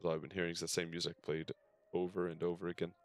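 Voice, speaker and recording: Deep-voiced man reading game text aloud. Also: monotone, fast. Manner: stumbles over text while reading aloud. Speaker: game streamer. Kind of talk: reading game dialogue aloud with casual comments. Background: game music.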